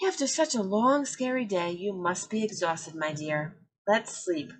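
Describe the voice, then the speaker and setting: A woman voicing a loving female penguin mother who speaks softly and tenderly. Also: medium pitch, measured pace, husky. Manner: soft and tender.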